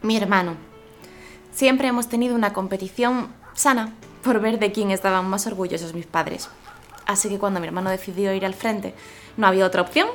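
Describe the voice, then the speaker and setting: A woman performs a grieving but proud army telegraphist in a radio play. The voice is mid-pitched, earnest and respectful. Soft music runs underneath. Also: lightly acted. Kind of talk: Spanish historical audio drama.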